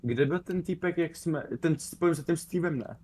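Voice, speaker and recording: A man's voice: fairly high pitch, brisk, a crowd in the background. A man from the Czech Republic with a Czech accent, chatting casually in online game voice chat.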